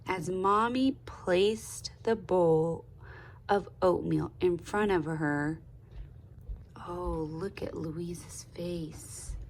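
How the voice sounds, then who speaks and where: A woman reading a children's picture book aloud in an expressive, deliberate teaching way, pausing to put questions to young listeners. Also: on the low side, a little hiss or hum, rough recording. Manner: slow, deliberate, with pauses. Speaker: speech therapist.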